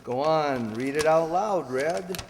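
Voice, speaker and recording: Man playing a suave, teasing, slow-talking gentleman. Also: a little high, slight background noise. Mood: breezy, sly. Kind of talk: 1940s radio comedy acting. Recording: echoey hall stage recording.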